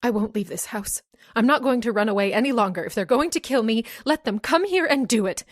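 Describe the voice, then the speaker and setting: A high-voiced, frightened woman with a guilty secret , played by an actress in a radio mystery. She speaks in an overwrought, pleading melodramatic way, recorded dry. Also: expressive, brisk, no room sound. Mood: tense, defiant. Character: frightened, guilt-ridden woman hunted for her crime. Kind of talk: old-time radio mystery acting.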